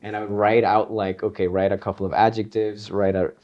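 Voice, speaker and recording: A laid-back man who grew up in Iceland talks through his creative process in a casual interview. His voice sits on the low side, and he rambles loosely with fillers and easy laughter. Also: brisk, dull audio. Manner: relaxed, rambling, laughs often, many fillers. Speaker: guitarist and composer guest.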